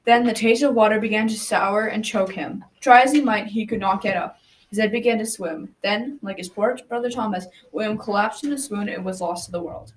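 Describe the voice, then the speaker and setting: A woman's voice: medium pitch, brisk, natural voice. A young adolescent girl narrating a folktale as a grandfather in a scripted school radio drama. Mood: storytelling. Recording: faint noise, slightly distant microphone.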